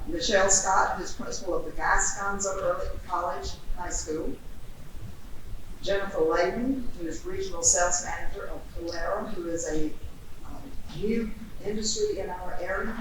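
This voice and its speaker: A woman hosting a local business event, talking loudly and in a friendly, slightly rambling way. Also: fairly low pitch, moderate pace. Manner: loud, friendly, lightly rambling. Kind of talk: welcoming remarks at a community business event. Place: new school auditorium. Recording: echoey auditorium from far off, noisy.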